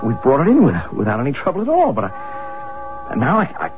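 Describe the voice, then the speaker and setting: A man's voice: mid-range voice, huge pitch swings, brisk. An actor playing a frantic father fighting evil in a radio drama, agitated and strained. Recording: dull old radio recording, music behind.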